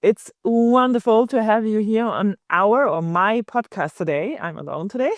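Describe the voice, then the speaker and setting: A woman hosting a podcast in a casual, halting way, thinking aloud as she goes. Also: medium pitch, animated, no room sound. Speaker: podcast host. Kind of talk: casual interview chat.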